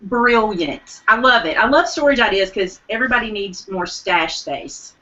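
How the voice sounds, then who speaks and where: Eager woman host, bright, slightly high, losing her voice, lo-fi stream. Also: lively intonation, moderate pace. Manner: enthusiastic deal announcer. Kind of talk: live online craft show chat.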